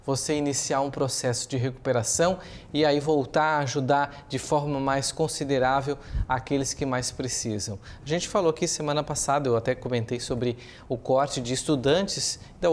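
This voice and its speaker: A man with a Brazilian accent giving opinionated, explanatory news commentary as a journalist, speaking semi-improvised and posing rhetorical questions. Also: medium pitch.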